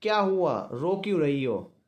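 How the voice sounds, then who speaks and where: An elderly husband, acted out by a male storyteller, anxious and affectionate. The voice is fairly high and animated, with a tender, hesitant manner fit for a family audio story. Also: a little slow. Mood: worried.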